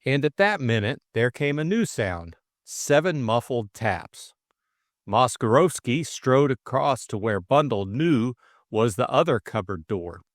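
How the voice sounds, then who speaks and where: A man narrates a classic thriller audiobook in a scripted, dramatic storytelling style. His voice sits at an average male pitch, and the recording is close and dry. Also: no room sound.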